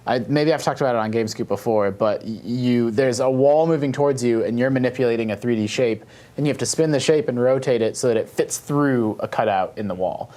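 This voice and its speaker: Medium-pitched man explaining games. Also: brisk, darkish tone. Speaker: panelist. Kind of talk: casual explanation of video games.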